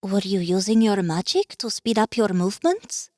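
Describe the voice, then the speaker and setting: A woman's voice: mid-range voice, sing-song, moderate pace, no room sound, clean studio sound, lightly acted. A woman voicing an earnest, admiring female warrior in a fantasy audiobook, speaking sincerely. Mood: awed, hushed. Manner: sincere, warm-hearted. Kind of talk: dramatized fantasy audiobook acting.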